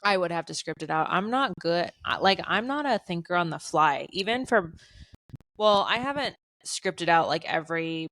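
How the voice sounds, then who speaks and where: Young woman, flat monotone podcast chat, low-pitched, dry mic. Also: normal speed, dry recording. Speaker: podcast co-host. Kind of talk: casual chat.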